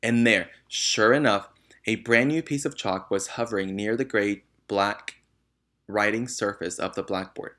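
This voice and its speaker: A man's voice: lowish voice, a little slow, bright, forceful tone. A man reading a children's novel aloud as narrator. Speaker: reader.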